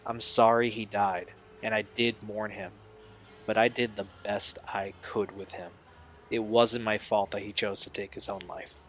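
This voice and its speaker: An actor playing a troubled young man in an audio drama, speaking in an earnest, halting, confessional way. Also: fairly low pitch, flat delivery, a bit bright, faint music behind. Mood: defensive, pained. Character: Steve, troubled young man recalling a painful family past.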